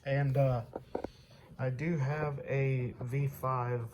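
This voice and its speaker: A young man in his early twenties talks slowly at a middling pitch. He is casually showing off gear as a hobbyist, often stopping mid-sentence. Faint noise sits behind him in a home recording. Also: flat delivery. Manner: halting, pausing mid-sentence. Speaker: hobbyist showing weather radios. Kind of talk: casual unscripted product demonstration.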